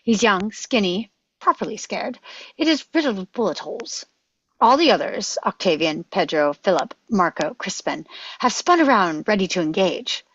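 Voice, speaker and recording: A woman's voice: mid-range voice, expressive, moderate pace, breathy edge. A woman reading stage directions as scripted narration at a table read, on a slightly dull microphone.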